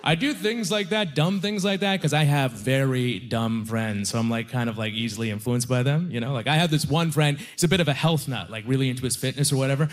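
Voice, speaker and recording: A young man doing a stand-up comedy set on a club stage with a handheld mic. His first language is Aramaic, and he talks with loud energy, often shouting the punchlines. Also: mid-range voice, brisk, few pauses. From Canada (Nova Scotia).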